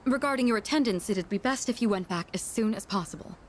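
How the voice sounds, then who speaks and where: An actress playing an earnest high school student council president in a video game, speaking formally and with measured care. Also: female voice, a little hiss or hum, lightly acted. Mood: concerned.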